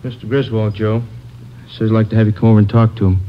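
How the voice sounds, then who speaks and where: A sober American policeman acted by a man reports tersely in a lowish voice. The radio drama comes on a muffled old recording with faint noise. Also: level tone, fast talker, lightly acted. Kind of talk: 1950s radio drama acting.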